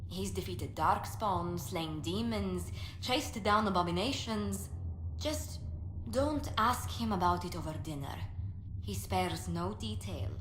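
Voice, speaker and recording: A woman's voice: lowish voice, expressive, takes it slowly, a bit bright, echoey room, slight background noise, rough recording. An actress playing a poised, courteous woman ambassador in a video game, speaking politely and formally. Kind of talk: video game character acting.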